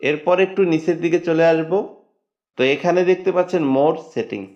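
A man narrating a step-by-step phone settings tutorial in a plain, steady instructional tone. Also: fairly high pitch, moderate pace. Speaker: tech tutorial host. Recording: dull lo-fi voice-over.

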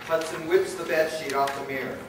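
In a very echoey hall, a man narrates a scripted radio play. His voice is fairly high and picked up from a distance, with clear noise around it. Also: steady tone, brisk, a bit bright. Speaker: narrator and director. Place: live convention stage reading. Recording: very echoey hall, far mic, noisy.

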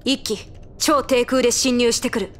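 A woman's voice: on the high side, fairly even intonation, normal speed, a little hiss or hum, lightly acted. A woman voice actor playing a cool, resolute warship captain with a terse, commanding delivery in a military audio drama. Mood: cool, calculating. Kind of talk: Japanese anime-style military radio drama acting.

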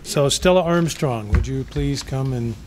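A man's voice: mid-range voice, measured pace, a little mellow, slight background noise. A middle-aged man moderating a forum, introducing candidates plainly at a podium mic with a few uhs. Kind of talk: event introductions. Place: banquet room. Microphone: podium microphone.

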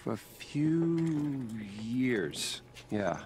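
A middle-aged man playing an awkward, weary, gentle scientist in a video game cutscene, hesitant, stammering and mumbling. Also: mid-range voice, very animated, very slow, background noise. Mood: flustered, awkward.

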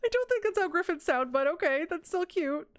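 A young woman casually commentating her Minecraft let's play, excitable and gushing over cute animals, a little hoarse. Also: very high-pitched, brisk, no echo. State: slightly hoarse. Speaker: gaming YouTuber.

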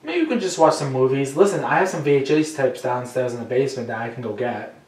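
A man's voice: mid-range voice, expressive, quick pace, a little mellow, lightly acted. A young man playing an attentive, friendly friend in a naturalistic amateur found-footage film. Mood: casual, friendly. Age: young adult. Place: small wood-panelled room indoors. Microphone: camera mic at a distance. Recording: roomy, noisy home camcorder sound, distant mic.

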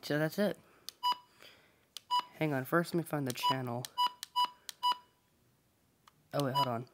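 A very noisy home recording with clicks and electronic beeps carries a man's mid-pitched voice. He talks casually to himself in short, clipped fragments as he narrates a hands-on task. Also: normal speed. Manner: muttering to himself, reading out digits in short fragments. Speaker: hobby video maker. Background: loud noise, button clicks and electronic beeps.

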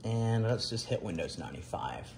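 A middle-aged man giving a casual, unscripted show-and-tell, halting and pausing mid-sentence. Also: lowish voice, very animated, a little mellow, slight background noise. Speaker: retro computer vlogger. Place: dining room at home, indoors.

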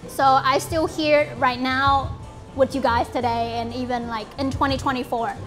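A woman in her late twenties from Vietnam with a Vietnamese accent, speaking earnestly in halting English with fillers as she hosts a small gathering in an apartment lounge. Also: high voice, fairly even intonation, faint music behind. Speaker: event host and company founder. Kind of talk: informal speech to a small group. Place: bright apartment lounge, indoors.

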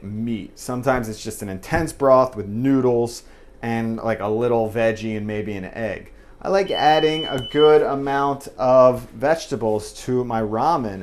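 Casual young dude talking through a recipe, lots of like and you know, small home kitchen sound, a bit of background noise. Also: male voice, medium pitch. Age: young adult. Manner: chatty, loose, upbeat, says "like" and "you know" often. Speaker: home cooking channel host. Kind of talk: casual cooking tutorial. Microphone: camera mic at a distance, moving around while cooking.

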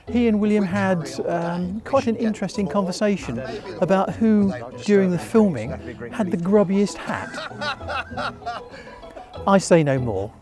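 An older man interviewed outdoors by a stone church, speaking with enthusiasm and some fillers. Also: high-pitched. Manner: enthusiastic, warm praise with fillers. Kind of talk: interview testimony.

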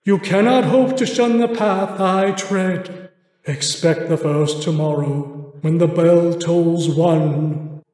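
A man voicing a tormented, mournful ghost in chains, declaiming slowly with anguish. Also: fairly high pitch, darkish tone. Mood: solemn. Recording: very echoey, long reverb.

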